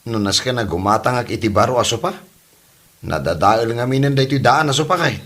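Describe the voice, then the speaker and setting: A man's voice: medium pitch, expressive, brisk, fairly bright tone. A man playing a meek, whiny, henpecked mama's boy husband in a comedy drama, stammering and exclaiming as he goes. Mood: hesitant. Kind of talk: Ilocano comedy drama acting.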